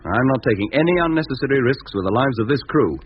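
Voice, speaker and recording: An actor playing a firm, authoritative spaceship captain in a 1950s radio drama, brisk and decisive. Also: male voice, mid-range voice, animated, natural voice. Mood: reasoning, firm. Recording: dull, noisy 1950s radio recording.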